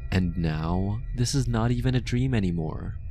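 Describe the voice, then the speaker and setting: A man playing a possessive, lovestruck mafia boss in an intimate ASMR roleplay, speaking softly and coaxingly, obsessive yet tender. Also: lowish voice, a little hiss or hum, natural voice. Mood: seductive, possessive. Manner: slow, soft, coaxing, close and intimate.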